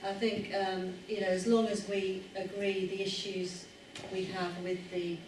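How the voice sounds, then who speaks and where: A woman's voice: mid-range voice, level tone, measured pace. A woman speaking up at a public meeting, hesitant with many fillers. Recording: echoey hall with background noise, far off.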